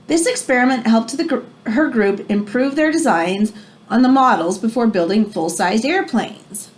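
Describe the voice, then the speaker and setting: Lady teacher reading a kids book out loud, highish voice that swings up and down a lot, leans on key words. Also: animated, a little hiss or hum. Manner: explanatory, emphatic, with drawn-out key phrases. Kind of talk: expressive read-aloud of a children's nonfiction book with brief asides.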